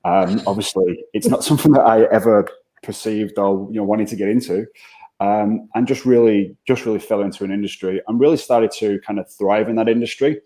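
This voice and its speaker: Low-voiced, mellow Mancunian man. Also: poor audio. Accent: Mancunian English. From England. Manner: halting, repeats words while thinking. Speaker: interview guest. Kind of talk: conversational interview.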